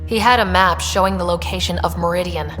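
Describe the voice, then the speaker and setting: A woman's voice: on the low side, steady tone, a little fast, in a large hall, over music, close to an everyday voice. An actress playing a resolute young woman who leads space explorers in a video game. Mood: firm, earnest. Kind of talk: video game dialogue acting.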